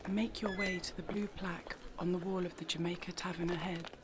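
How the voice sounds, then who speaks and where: A woman's voice: fairly low pitch, steady tone, a little fast, very noisy. A woman whispering short walking directions on an audio tour. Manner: soft whisper. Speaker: direction giver.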